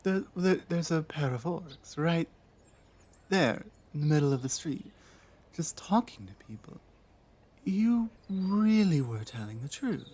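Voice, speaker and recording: Woman playing a slow-spoken, guarded orc warrior woman. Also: low voice, lively intonation, warm tone, breathy edge, heavily acted. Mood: cautious wonder. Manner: halting, earnest, deliberate phrasing with pauses. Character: lost, guarded young female orc warrior, earnest and lonely. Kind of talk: ASMR roleplay audio drama.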